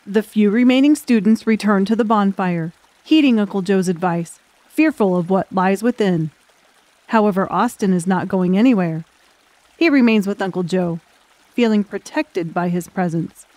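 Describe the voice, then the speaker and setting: Female audiobook narrator, medium-pitched voice, scripted dramatic storytelling. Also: normal speed, a little mellow, no echo.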